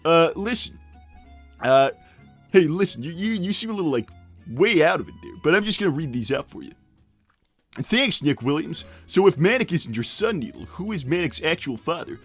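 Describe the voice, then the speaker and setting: A man voicing a brash middle-aged hedgehog dad in improvised livestream comedy, rambling and blunt, with halting tangents. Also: a little high, big pitch swings, faint music behind. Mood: cocky, blunt, then bitter, dry. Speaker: character host reading viewer messages.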